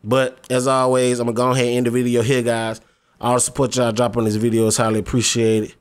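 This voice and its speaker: A man's voice: mid-range voice, monotone, fast, clear, resonant tone. A man in his twenties talking casually in a gaming vlog, laid-back and slangy, often pleading and repeating himself. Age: 20s. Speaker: gaming YouTuber.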